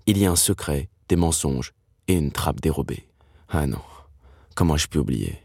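A man in his thirties with a very low voice, a dreamy writer looking back on family history. He tells it intimately and reflectively, as in a scripted podcast drama. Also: animated, moderate pace, a little mellow, slightly rough, no room sound, clean studio sound, heavily acted. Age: 30s. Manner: reflective, storytelling. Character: Jacob, dreamy thirty-something screenwriter son telling his father's story.

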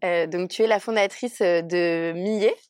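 A woman's voice: on the low side, steady tone, moderate pace, a little mellow, clean tone, no echo, clean studio sound. A woman hosting a podcast, asking questions and giving short acknowledgments. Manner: brief prompts and frequent acknowledgments. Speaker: podcast host. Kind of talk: podcast interview.

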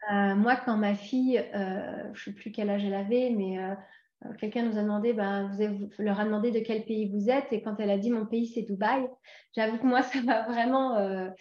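A French woman in her forties answers interview questions at a relaxed, halting pace, with plenty of fillers and restarts. Her voice sits at an ordinary middle pitch. The recording is dull and lo-fi, with a roomy echo around her. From France. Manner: hesitant, many fillers and restarts. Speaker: interview guest. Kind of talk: casual interview conversation.

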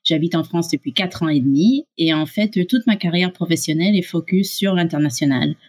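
A woman with a North American English accent speaks as a guest on an interview podcast. She is middle-aged and her voice sits low. She explains fluently and at length, with occasional fillers. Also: fairly quick, a little mellow, husky. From Canada and USA. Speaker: guest, startup co-founder.

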